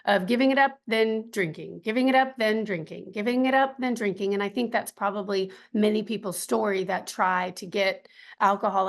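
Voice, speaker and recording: A middle-aged woman with a raspy voice telling her personal story as an interview guest, earnest and faith-filled, with many ums and some throat clearing. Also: medium pitch. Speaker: podcast guest.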